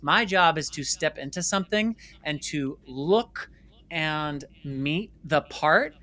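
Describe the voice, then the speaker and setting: A man with a bright, forward voice that sits a little high. He talks as an interview guest, telling his story conversationally. His delivery is emphatic, with phrases repeated to drive points home. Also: lively intonation, takes it slowly. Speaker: interview guest, filmmaker and former stage actor.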